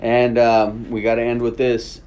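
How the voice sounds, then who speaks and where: A man's voice: medium pitch, completely flat delivery, measured pace, darkish tone. A man hosting a casual interview podcast, chatty and full of "you know" and "right?". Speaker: podcast host.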